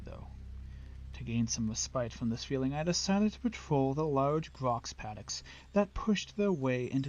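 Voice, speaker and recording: A man's voice: medium pitch, a little mellow, a little hiss or hum. Slightly muffled man narrating a dramatic story. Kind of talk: dramatic scripted audiobook narration.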